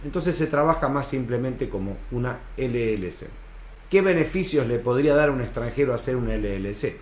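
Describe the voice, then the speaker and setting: A man's voice: mid-range voice, lively intonation, brisk. A man gives an informal explainer as an adviser, in a didactic way, posing questions and answering them himself. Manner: didactic, asks and answers own rhetorical questions. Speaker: business adviser presenting an explainer. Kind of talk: informal explainer talk to viewers. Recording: echoey, roomy sound.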